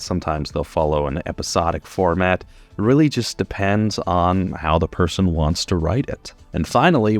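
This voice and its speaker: A man's voice: deep voice, no room sound. A man in his twenties giving a casual, unscripted explainer in an informal, conversational way, as a podcast producer guiding beginners. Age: 20s.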